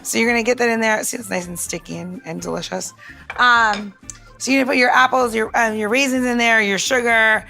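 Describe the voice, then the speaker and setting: A woman's voice: a little high, fairly even intonation, brisk, creaky, bright, forceful tone, soft background music. A woman casually walking listeners through a recipe step by step, chatty and instructive. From United States. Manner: chatty, instructive, laughs easily. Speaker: cocktail tip presenter. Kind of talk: casual how-to demo.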